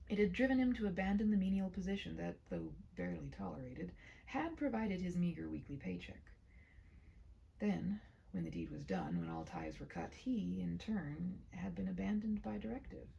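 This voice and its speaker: A woman's voice: on the low side, huge pitch swings, vocal fry, dull audio, slight background noise. Whispery woman reading a story. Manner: hushed, often dropping into whispers; slow, deliberate phrasing with dramatic pauses. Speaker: storyteller reading her own short story. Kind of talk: read-aloud of a literary short story, soft and ASMR-leaning.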